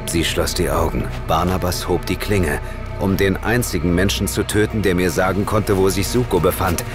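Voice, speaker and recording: A man's voice: low voice, fairly even intonation, normal speed, natural voice. An actor playing a tough, determined investigator, narrating his own story tersely in a German audio drama. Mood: tense narration. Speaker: narrator and lead character. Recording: loud dramatic music behind the voice.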